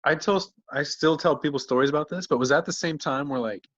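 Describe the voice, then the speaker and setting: A man talking casually as a guest in a relaxed conversation among friends. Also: on the high side, fairly quick, no room sound. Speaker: podcast guest. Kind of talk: casual chat. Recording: dull lo-fi, dry call audio.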